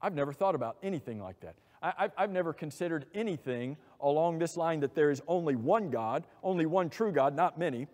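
A middle-aged man preaching a sermon from a church pulpit, animated and asking many rhetorical questions. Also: a little high, brisk, hardly any pauses. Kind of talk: expository sermon. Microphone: lapel mic. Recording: long hall reverb.

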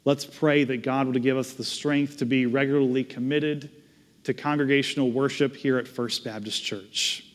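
A man's voice: mid-range voice, steady tone, moderate pace. A man in his thirties with a Southern US accent, a pastor preaching at a pulpit microphone in a conversational, self-deprecating way. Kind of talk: sermon with closing prayer. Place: large church sanctuary, at a pulpit. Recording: long reverb of a church hall.

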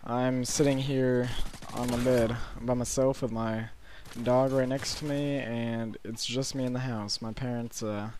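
Hoarse eighteen-year-old man rambling. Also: medium pitch, fairly even intonation, a little mellow, a little hiss or hum. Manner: rambling, halting with many fillers. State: hoarse, sore throat. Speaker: gaming commentator. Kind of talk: casual gameplay commentary. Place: bedroom at night, alone.

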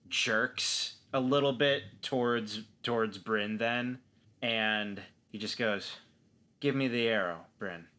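A man describing his character in a tabletop role-playing game, feeling his way haltingly and repeating words. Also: mid-range voice, sharp tone. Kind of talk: improvised description of a character in actual play.

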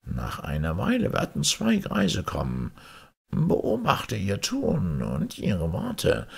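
A wise, helpful old frog from a storybook. The voice is husky and strained, pitched up from a deep natural register, with slow, croaking words. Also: male voice, wildly swooping pitch, full character voice. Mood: instructing.

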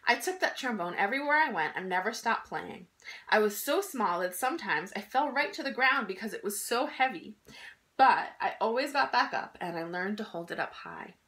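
A woman in her thirties reading a children's picture book aloud in an expressive, emphatic storytelling style. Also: medium pitch, normal speed. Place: small indoor room at home.

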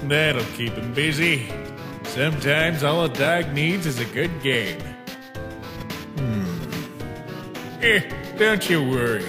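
A man voicing an easygoing, laconic skeleton scientist in an animated comic dub. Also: on the high side, takes it slowly, long pauses. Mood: relaxed, wistful. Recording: long reverb, prominent music.

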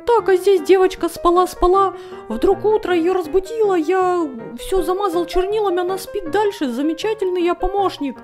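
A woman voicing a small, mischievous, excitable male ink demon in a playful cartoon comic dub. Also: very high voice, normal speed. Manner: playful, exclamatory. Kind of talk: fan comic dub and show hosting. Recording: music behind.

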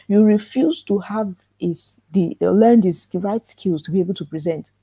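Woman host, calm soft-spoken voice, mellow and breathy, earnest workshop coach on a webinar mic. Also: medium pitch, measured pace, dry recording. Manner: earnest, repetitive coaching, asks rhetorical questions. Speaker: workshop host teaching authors. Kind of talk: live online workshop lecture. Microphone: computer mic in a live webinar.

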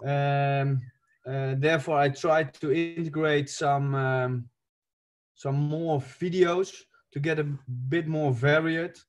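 Halting Dutch-accented coach presenting. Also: male voice, on the high side, fairly even intonation. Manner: halting, searching for English words. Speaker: guest football coach. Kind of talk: online presentation. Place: attic room at home.

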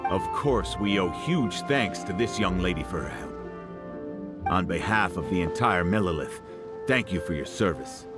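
An earnest male soldier from a game. He reports formally and respectfully in a fairly low voice, with loud music behind. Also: expressive, normal speed. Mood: dutiful, grateful. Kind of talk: scripted video game voice acting.

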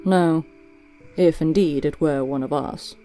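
Lady doing a formal old-school male owl from a storybook, careful, lowish, unhurried. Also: dark tone, soft background music. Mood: guarded, formal. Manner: formal, guarded.

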